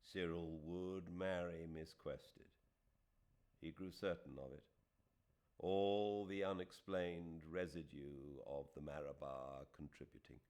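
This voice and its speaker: A man with a British accent reading literary fiction as an audiobook narrator, with dramatic, deliberate phrasing. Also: extremely low pitch, steady tone, darkish tone. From Britain.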